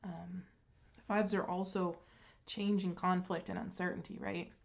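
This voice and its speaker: Woman tarot reader, stuffed up and throat-clearing, meandering delivery with lots of ums, slightly dull recording. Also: on the low side, flat delivery. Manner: unhurried, with frequent ums and restarts. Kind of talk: casual card reading.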